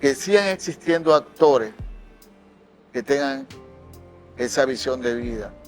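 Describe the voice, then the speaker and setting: A man's voice: mid-range voice, expressive, measured pace, soft background music. A man in his sixties with a Maracaibo Venezuelan accent, an actor and director speaking emphatically and with passion in an interview, often repeating phrases for effect. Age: 60s. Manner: impassioned, emphatic, with repetitions for effect. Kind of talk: personal interview testimony.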